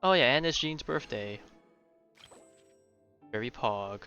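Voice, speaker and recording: Bright-toned young man streaming, dull mic. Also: mid-range voice, expressive, slow pace. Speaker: game streamer. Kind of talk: casual gameplay commentary. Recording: dull microphone, game music behind. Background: game music.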